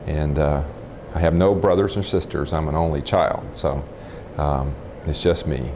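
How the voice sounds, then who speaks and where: An American man in his forties speaks in a very deep bass register. He answers as an interviewee, matter-of-fact and a little halting. A lapel mic picks him up indoors with faint noise behind. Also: steady tone. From Texas, United States. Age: 40s. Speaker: interviewee, nuclear physicist. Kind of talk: informal oral history interview, recollecting. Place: room in a house, indoors.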